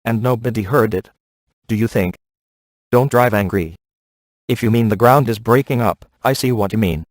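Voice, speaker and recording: A man acting a cocky, laid-back ghost hunter in a video game, sardonic and offhand with deadpan sarcasm. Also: on the low side, moderate pace, no echo, close to an everyday voice. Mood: dry, wisecracking.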